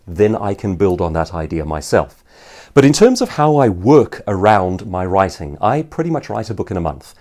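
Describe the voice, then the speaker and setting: Male seminar speaker, very lively intonation, mellow tone, articulate and emphatic, storyteller's phrasing. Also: fairly low pitch. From South Africa. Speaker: author and professional speaker. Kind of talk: informal seminar answering viewers' questions.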